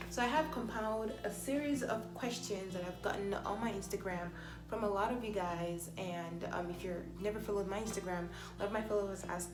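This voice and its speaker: Nigerian woman in an echoey room. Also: mid-range voice. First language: Igbo. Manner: chatty, self-conscious, many restarts and fillers. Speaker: vlogger. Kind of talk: casual Q&A vlog.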